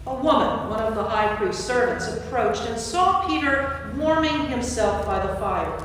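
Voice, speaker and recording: A woman's voice: fairly high pitch, animated, unhurried, fairly bright tone. A woman narrator reading scripture from a script in a staged church reading. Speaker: narrator, priest. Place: church, recorded from a distance. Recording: very echoey church, distant mic, faint noise.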